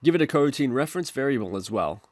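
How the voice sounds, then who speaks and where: A man's voice: mid-range voice, lively intonation, racing, a little mellow, no room sound. A man hosting a programming tutorial, narrating step-by-step instructions in a screencast style.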